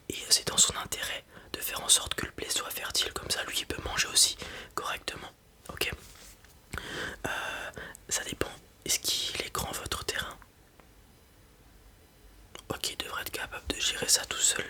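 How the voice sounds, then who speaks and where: A person whispers an ASMR roleplay as a breeder advising a customer, explaining informally step by step with hesitations and little check-ins. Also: sharp tone.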